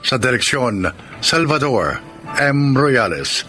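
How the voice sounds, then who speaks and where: Guy announcing a radio drama, dramatic and measured, lively pitch, music behind. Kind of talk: scripted narration, formal announcing.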